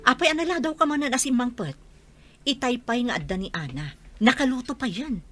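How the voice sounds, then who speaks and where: A woman acts a scolding Filipino mother in a radio drama. She sounds disappointed, with a somewhat high voice that rises and falls sharply. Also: animated, a little fast, music in the background.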